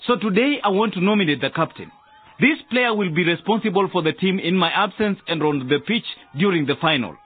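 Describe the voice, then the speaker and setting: An actor playing an excitable, emphatic school football coach in a radio drama. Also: male voice, sits high, a little fast, husky, narrow, tinny audio. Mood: announcing, firm. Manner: exclamatory, emphatic.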